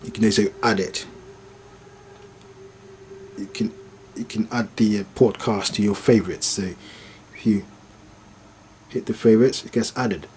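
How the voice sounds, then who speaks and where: A man's voice: on the low side, fairly even intonation, unhurried, long pauses, a little mellow, low-quality recording. A man giving a casual, unscripted product demonstration in a hesitant, mumbling way. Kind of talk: casual unscripted tutorial narration. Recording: faint background noise.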